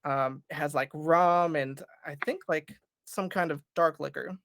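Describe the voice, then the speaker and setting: A panel guest chatting casually and warmly about home food, with many fillers. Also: medium pitch, measured pace, a little hiss or hum. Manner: friendly and talkative, many fillers.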